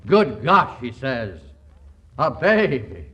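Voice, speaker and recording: An actor playing a wry, glib American Hollywood screenwriter pitching stories dramatically, in 1940s radio comedy style. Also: male voice, very animated, slow, bright, forceful tone, full character voice. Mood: mock-dramatic. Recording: dull vintage 1940s radio recording.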